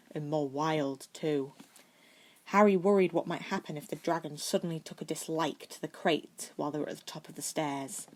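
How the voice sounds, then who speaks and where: A woman narrating fan fiction as an amateur audiobook reader, expressive with the occasional stumble. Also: low-pitched.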